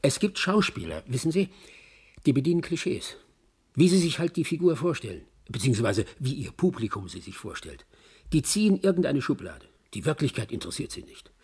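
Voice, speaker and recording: A very husky male voice with plenty of fry, mid-pitched. a reflective raconteur in a radio play, musing and explaining as he looks back. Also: big pitch swings, a little fast, darkish tone, exaggerated voice. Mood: reflective, admiring. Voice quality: husky, gravelly. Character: reflective male raconteur reminiscing about actors. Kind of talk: radio drama monologue.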